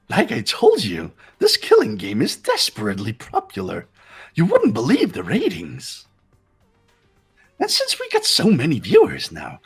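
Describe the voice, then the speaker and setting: A man's voice: mid-range voice, vocal fry, a bit bright, slightly rough, exaggerated voice. A man voicing a gleefully menacing, mocking robot bear headmaster in a fan-dubbed game, theatrical and teasing in sing-song swoops. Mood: sly, gleeful. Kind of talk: fan voice acting of a visual novel game.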